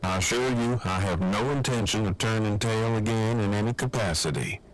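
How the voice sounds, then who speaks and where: A man's voice: on the low side, normal speed, soft background music, low-quality recording. A man playing a formal, long-winded, earnest survivor in an audio drama, with stiff, precise diction over a walkie-talkie. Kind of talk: fan audio drama acting.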